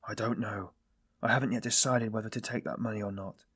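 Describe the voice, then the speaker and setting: A man playing a weary, self-loathing man in audiobook dialogue, confessing in a brooding, bitter, halting manner. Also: fairly low pitch, fairly even intonation, a bit bright, slightly rough. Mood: musing, subdued.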